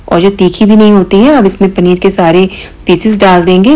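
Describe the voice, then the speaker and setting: Woman doing a cooking voiceover, calm step by step, little ums here and there. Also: medium pitch, low-quality recording. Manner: calm step-by-step instruction with mild hesitations. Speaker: cooking channel host. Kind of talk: recipe voice-over narration.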